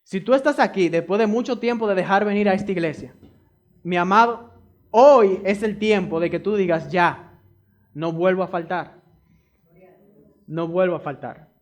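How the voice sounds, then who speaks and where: A man's voice: high voice, normal speed, pauses often. A man from the Republic, preaching a sermon and pressing his points by repeating them straight to the congregation. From Dominican Republic. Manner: emphatic, repeats key phrases; addresses the congregation directly.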